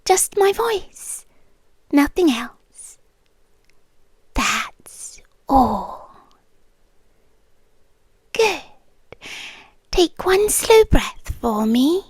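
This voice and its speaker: Woman acting a slow, high, whispery British hypnotist girlfriend. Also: big pitch swings, dry recording, clean studio sound, heavily acted. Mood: soothing, hypnotic. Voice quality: soft, breathy, often whispered. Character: possessive hypnotist girlfriend, soothing, doting, quietly controlling. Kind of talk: ASMR roleplay. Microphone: close microphone.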